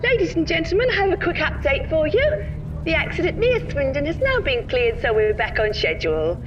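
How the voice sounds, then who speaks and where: High sing-song coach announcer. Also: female voice, big pitch swings, a little fast. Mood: officious, chirpy. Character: brightly officious female coach tour announcer. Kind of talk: scripted announcement in audio comedy. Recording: dull, distant PA sound over music.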